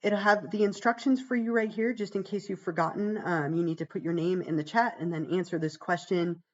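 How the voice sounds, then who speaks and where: Hoarse, gravelly-voiced woman teaching. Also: steady tone, fairly quick, darkish tone, muffled recording. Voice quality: gravelly, deeper than usual. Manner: chatty, reassuring, fillers like "um" and "right?". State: hoarse from being sick. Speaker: psychology instructor. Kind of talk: casual livestream course walkthrough.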